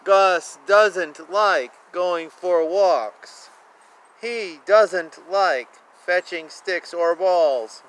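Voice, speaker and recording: A man reading children's picture books aloud in a playful, expressive way, with casual asides and repeated phrases. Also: high-pitched, very slow, clean tone. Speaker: children's book reader. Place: outdoors. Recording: noisy outdoor ambience. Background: outdoor ambience, animal and vehicle sounds.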